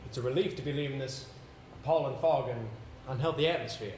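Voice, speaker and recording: A man acting in an amateur stage play as an earnest, confident young gentleman, formal, deliberate and declamatory. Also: medium pitch, close to an everyday voice. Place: indoor theatre stage. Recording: echoey stage recording with background hiss.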